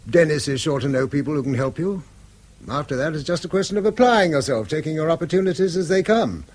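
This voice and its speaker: A middle-aged British schoolmaster, weary and bitter. His husky voice rises and falls widely as he speaks in eloquent, self-reproaching phrases. It is radio drama acting. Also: on the high side, expressive, quick pace, darkish tone. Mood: resigned, wry. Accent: British English. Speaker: actor.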